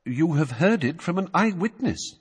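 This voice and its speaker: Composed old wizard, a man reading, measured and polite, slightly husky, long reverb. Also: a little high, very animated, voice pushed up, exaggerated voice. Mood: calm. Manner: measured, courteous, unhurried. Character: calm, courteous old male wizard, coolly polite.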